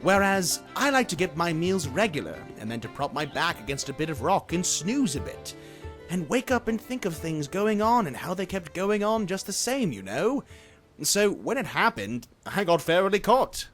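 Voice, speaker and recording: A genteel storybook dragon played by a man, high-pitched for a male and very animated. He rambles along in eager, old-fashioned politeness, a lazy and bashful fellow who loves company. Music plays behind him. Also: normal speed. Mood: rambling storytelling. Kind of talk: dramatized storybook character acting.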